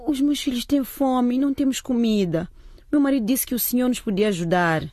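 A woman's voice: on the high side, brisk, mellow, no room sound, studio quality, lightly acted. A woman acting in a radio drama as a timid, anxious wife and mother. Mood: anxious, pleading. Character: timid, frightened wife and mother pleading for help.

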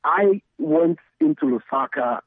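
A man's voice: fairly high pitch, animated, a little slow, slightly rough, no room sound. Middle-aged man on a phone-like line. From Zambia, the Copperbelt. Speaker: guest, radio DJ and station founder. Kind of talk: conversational interview storytelling. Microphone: remote call connection.

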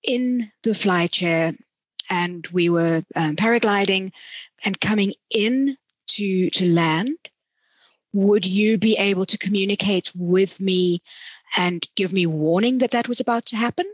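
A woman hosting a conversational interview, asking thoughtful, measured questions. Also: medium pitch, husky, no echo, muffled recording. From South Africa. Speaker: podcast host, interviewer.

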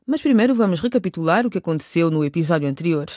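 A man's voice: on the high side, expressive, racing, darkish tone, no echo, clean studio sound. A man narrating a radio soap in a scripted, presenting style.

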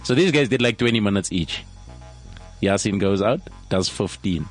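A man's voice: fairly low pitch, animated, moderate pace, faint music behind. A young man from South Africa telling a rambling comic anecdote on a podcast, often repeating words as he goes. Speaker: comedian guest. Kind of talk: casual storytelling.

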